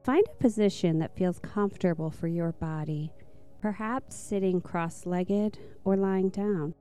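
A woman narrating a guided meditation in a soft, slow, soothing manner. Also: fairly low pitch, clean tone, faint music behind.

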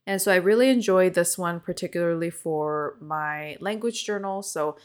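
A woman's voice: on the low side, moderate pace, smooth. A young woman co-hosting a podcast, born in Korea with Korean as her first language, chatting casually and explaining in detail with lots of "um"s. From South Korea. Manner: explains in detail with many fillers. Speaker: podcast co-host. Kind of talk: casual chat.

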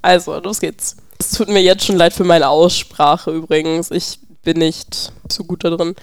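A young adult woman co-hosting a podcast in relaxed, casual conversation. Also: lowish voice, unhurried, clean tone, no echo, clean studio sound. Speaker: podcast co-host. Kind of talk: casual chat.

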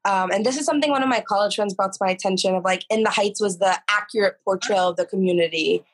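A woman's voice: mid-range voice, fast talker, breathy edge. A young woman with a New York accent, chatting casually as a podcast guest with many fillers and laughs. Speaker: guest, actor and teacher. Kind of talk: casual chat.

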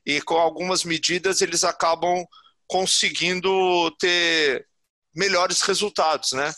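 A Brazilian man hosts a podcast and keeps adding short tag questions. His voice is high and sharply bright. The recording is dry, with almost no room sound. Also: steady tone, a little slow, no echo. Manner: affirming, frequent tag questions. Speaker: podcast host. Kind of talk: podcast interview.

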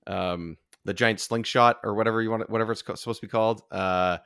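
Man, slightly deep voice, dry close sound, casual chat, halting, repetitive phrasing. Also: steady tone, a bit bright, clean tone, dry recording.

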